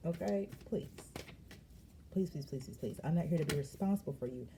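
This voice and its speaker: A woman's voice: low voice, brisk, background noise. A woman tarot reader talking in a slangy, emphatic way during a casual spiritual reading. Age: adult. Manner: lively, slangy and emphatic, with frequent laughs.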